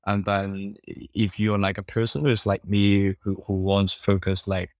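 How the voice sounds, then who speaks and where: A man's voice: lowish voice, flat delivery, moderate pace, no echo. A young man whose first language is Cantonese, talking in a casual interview, rambling with many fillers and restarts.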